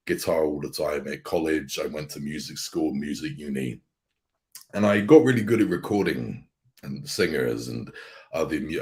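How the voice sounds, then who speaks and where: Very deep-voiced man. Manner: relaxed storytelling, laughs easily. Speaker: guest voice actor and YouTuber. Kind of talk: casual chat.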